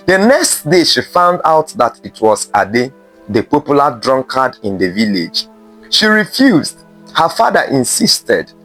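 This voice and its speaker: A man narrating a scripted folktale in a measured way, with dramatic pauses between short phrases. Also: fairly high pitch, a bit bright. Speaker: storyteller.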